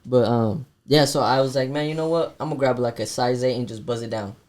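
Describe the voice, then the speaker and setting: A man working as a barber, guest on a podcast, telling a story in a soft-spoken, laid-back way and calling everyone "bro". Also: mid-range voice, a little fast. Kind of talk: casual storytelling.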